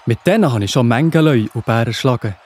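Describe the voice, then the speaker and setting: A man's voice: mid-range voice, lively intonation, quick pace, a little mellow, slightly rough. A man with a Swiss German accent playing a brave, faithful young shepherd, earnest and declamatory, in a children's audio drama. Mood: indignant, determined.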